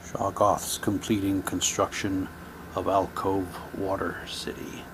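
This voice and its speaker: A man giving halting, low-key, wry commentary as he casually unboxes items and reads captions aloud. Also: little pitch movement, noisy background. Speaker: unboxing video host.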